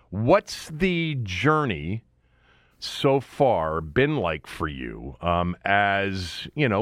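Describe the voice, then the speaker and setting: A man's voice: lowish voice, fairly bright tone, no room sound, clean studio sound. A man hosting a sports radio show in a casual, conversational way, often breaking off into asides.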